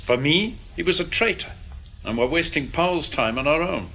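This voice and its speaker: A combative intelligence veteran in a radio drama. His voice is sharp and swings widely in pitch. He is blunt, impatient and challenging, over faint background noise. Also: expressive, normal speed. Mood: blunt, scornful. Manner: emphatic, challenging. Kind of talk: radio drama acting, heated meeting dialogue.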